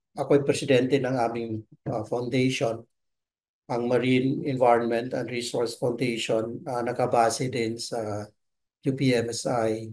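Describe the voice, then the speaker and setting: A man speaks as an expert guest in an interview, at an average pitch. His delivery is halting and hesitant as he explains, and he slips English terms into his sentences. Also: darkish tone. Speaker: expert guest, marine science professor.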